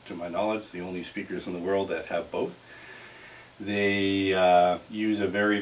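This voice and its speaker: A man working as an audio dealer, giving an informal technical explanation in careful, halting phrases. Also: low-pitched. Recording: distant mic with clear hiss.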